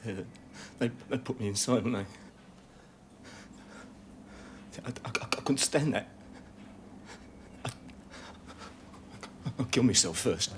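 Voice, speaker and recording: Volatile gunman, high tense voice, hunted and snarling. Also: animated, brisk, a little hiss or hum, exaggerated voice. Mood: frightened. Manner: snarling, shouting, stammering when scared. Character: cornered desperate male gunman, volatile and hunted.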